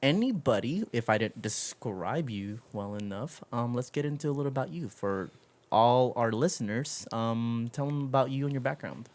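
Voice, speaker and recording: A man's voice: medium pitch, animated, moderate pace, a little mellow. A man hosting a casual interview, speaking in an informal, slangy and encouraging way. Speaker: podcast host.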